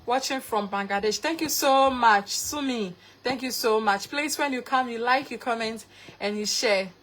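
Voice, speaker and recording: A woman's voice: fairly high pitch, moderate pace, a bit bright, a little hiss or hum. A young woman from Ghana with a Ghanaian accent, hosting a live cooking stream and greeting viewers by name with repeated thanks. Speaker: cooking livestreamer.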